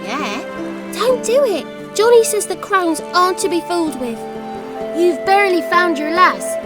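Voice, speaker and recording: A woman's voice: extremely high pitch, very animated, unhurried, heavily acted. A woman voicing a small, cheeky, protective childlike girl in a video game, forthright and scolding. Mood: grudging, cautionary. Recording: loud music behind the voice.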